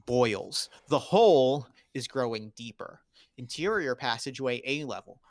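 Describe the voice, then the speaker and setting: Guy reading out script directions for a sci-fi table read, bright forceful voice, really dry sound. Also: medium pitch, no echo. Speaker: stage directions reader. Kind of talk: dramatic table read narration of screenplay directions.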